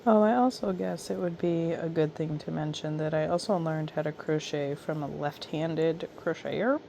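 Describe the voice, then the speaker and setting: A hoarse, raspy woman chatting casually and softly at home at night while she crochets, with ums and trailing pauses, recorded on a camera mic. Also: low voice, fairly even intonation, slight background noise. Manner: soft, unhurried, trailing off with ums. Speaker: crochet vlogger.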